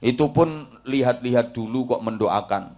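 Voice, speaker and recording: A man's voice: medium pitch, normal speed, darkish tone. A middle-aged man with a Javanese accent, preaching a comic sermon to a village congregation and teasing the crowd. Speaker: Islamic preacher.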